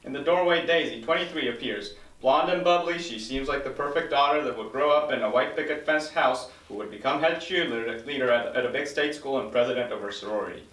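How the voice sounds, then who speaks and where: Male narrator, script stage directions, mid-pitched, roomy, slightly distant mic. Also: brisk, hardly any pauses, a bit bright. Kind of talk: scripted narration at a table read.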